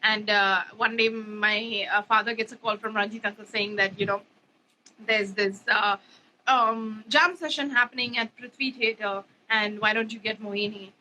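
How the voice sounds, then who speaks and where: Chatty bright-voiced young woman. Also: mid-range voice, fairly even intonation, normal speed, slight background noise, rough recording. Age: 20s. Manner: chatty, rambling, many fillers and "you know". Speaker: bassist guest. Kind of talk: casual interview chat.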